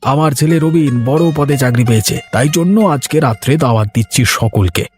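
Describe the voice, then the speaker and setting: A man voicing an excitable, proud middle-aged village father in a moral-story cartoon, with a folksy, exclamatory manner. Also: medium pitch, normal speed, faint music behind, close to an everyday voice. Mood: friendly, earnest.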